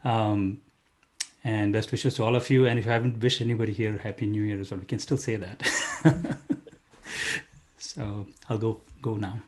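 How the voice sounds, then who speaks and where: A man from India, a singer, giving a relaxed, friendly greeting over a video call before performing. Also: fairly low pitch, moderate pace. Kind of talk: brief greeting before a song.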